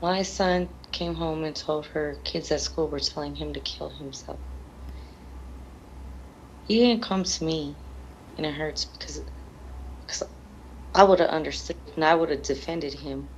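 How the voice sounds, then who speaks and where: Grieving mother, interviewee. Deep female voice with fry, halting plain speech. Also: a little hiss or hum, low-quality recording. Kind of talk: emotional television interview.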